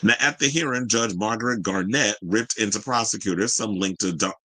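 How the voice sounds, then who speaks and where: A man's voice: on the low side, fairly quick, sharp tone. An American man hosting a news and gossip show, reading stories and adding blunt, profane, sassy asides in colloquial speech.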